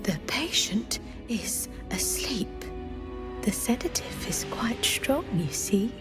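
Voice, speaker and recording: An actress playing an eerie, deluded healer in a video game, serenely proud and hushed in manner. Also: female voice, a little high, expressive, takes it slowly, over music. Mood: eerily calm.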